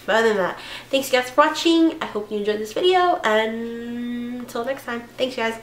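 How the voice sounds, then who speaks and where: A young woman with a hoarse voice from a cold, chatting casually through a makeup tutorial with fillers and self-corrections. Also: a little high, moderate pace, reverberant, slight background noise. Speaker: beauty vlogger.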